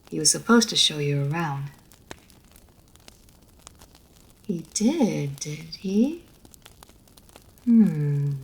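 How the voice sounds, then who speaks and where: A woman playing an immortal vampire lady of a manor in an audio roleplay, formal and measured, poised and teasing, speaking straight to the listener. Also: on the low side, a little mellow, breathy edge, full character voice, poor audio. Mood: gracious, gently teasing. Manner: formal, measured, old-fashioned genteel phrasing. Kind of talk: scripted roleplay audio story, addressed to the listener.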